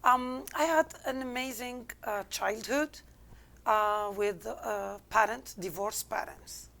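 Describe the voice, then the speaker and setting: A woman's voice: on the high side, slow, creaky, fairly bright tone. Algerian-accented woman telling her story. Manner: candid, reflective, non-native English phrasing. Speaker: interview guest. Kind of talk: personal storytelling in an interview.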